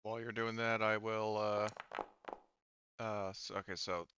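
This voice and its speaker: A man's voice: on the low side, flat delivery, a little slow, highs cut off, noisy background. A man casually refereeing a tabletop game as game master, thinking aloud in an informal way.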